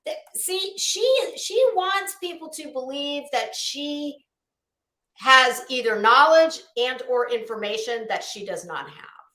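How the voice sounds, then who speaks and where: High, bright, echoey woman commentator. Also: animated, takes it slowly. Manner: scornful, blunt, emphatic. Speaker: YouTube commentary show host. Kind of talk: casual critical commentary on a video.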